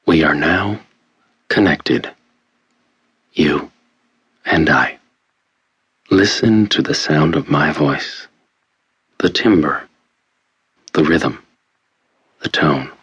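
A man playing a calm, controlling hypnotist who narrates a guided treatment tape in a deliberate, soothing manner. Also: rumbling low, husky, no room sound, exaggerated voice. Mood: soothing, hypnotic. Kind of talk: guided hypnosis instructional tape in an audio drama. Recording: dull lo-fi cassette-style sound. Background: faint music.

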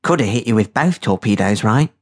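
A man voicing a tough, irritable woman navy subpilot in an audiobook, blunt and curt with a rough working-class accent. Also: lowish voice, expressive, brisk. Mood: offhand. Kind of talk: audiobook character voice.

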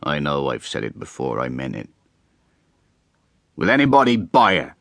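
A man's voice: extremely low pitch, huge pitch swings, moderate pace, vocal fry, dry recording, clean studio sound, pitched below the performer's own voice, exaggerated voice. A man voicing a drunken, brash rustic hay trusser who blusters and boasts. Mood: blustering. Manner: blustering and boastful, shouting at times.

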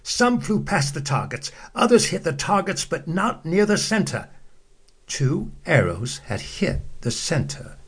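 A man narrating a children's bedtime story, slow and expressive, acting out the quotes. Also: high-pitched, slightly rough.

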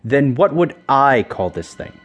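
Proper edwardian sidekick chap, husky, very up n down, polite, inquisitive, radio drama. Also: male voice, medium pitch, very animated, unhurried, soft background music. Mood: puzzled, curious. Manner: polite, incredulous, formal. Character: proper, inquisitive Edwardian gentleman, the investigator's loyal colleague. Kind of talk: radio drama acting and narration.

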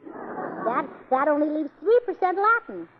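A chirpy, scatterbrained young wife. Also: female voice, high voice, unhurried, background noise. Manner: bubbly, chirpy, deadpan about her own nonsense. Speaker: comic lead. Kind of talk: 1940s radio comedy acting. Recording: slightly dull old radio recording.

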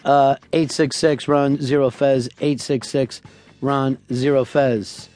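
A man hosting a radio talk show, taking callers and telling absurd tall tales with a deadpan, profane delivery. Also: medium pitch, slow, faint music behind.

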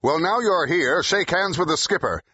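Impatient, forceful film director. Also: male voice, fairly high pitch, animated, moderate pace, breathy edge, no room sound, full character voice. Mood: brisk, commanding. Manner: snappy, commanding. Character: impatient, zestful film director in his thirties. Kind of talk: full-cast audiobook acting. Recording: dull, slightly muffled audio.

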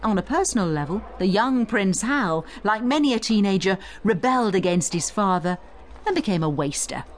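A woman's voice: medium pitch, expressive, normal speed, a little hiss or hum. A woman narrating a scripted educational guide, explaining emphatically and addressing the listener directly. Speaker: narrator and tutor of an audio study guide.

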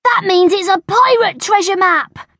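Woman acting excitable schoolboy, bossy pirate captain, high pitch, loud, emphatic, storybook character. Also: takes it slowly, no echo, heavily acted. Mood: excited.

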